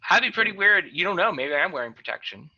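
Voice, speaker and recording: A man with a very bright, forceful, rather high voice hosts a casual podcast chat over a video call. He talks with dry, jokey asides. The call audio is dull and lo-fi. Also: sing-song, fast talker. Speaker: podcast host.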